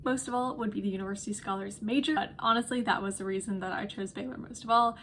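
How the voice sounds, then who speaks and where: Young woman, quick and upbeat, enthusiastic student ambassador, faint background hum. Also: medium pitch, fairly bright tone. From Dallas, Texas. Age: young adult. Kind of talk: casual video chat to camera.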